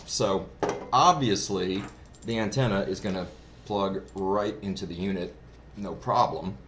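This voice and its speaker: A man giving a casual, unscripted gear review in a rambling, explanatory way, like a hobbyist who is new to it. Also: low voice. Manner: rambling, explanatory, self-described novice. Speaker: product reviewer. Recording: roomy echo, clear background noise.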